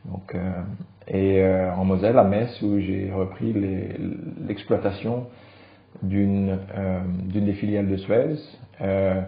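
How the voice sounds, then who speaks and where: A man in his forties from France, speaking low and unhurried as a podcast guest. He talks through his career with plenty of fillers and restarts. The sound is a little dull and echoes in the room. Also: steady tone, creaky. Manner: hesitant, many fillers and restarts, light chuckles. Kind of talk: relaxed conversational interview.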